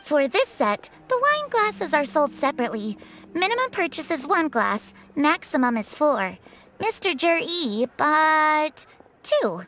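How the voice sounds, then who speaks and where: A woman's voice: sits high, very animated, a little slow, a bit bright, soft background music, exaggerated voice. An actress playing a polite, businesslike antiques saleswoman in a video game. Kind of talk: video game character acting.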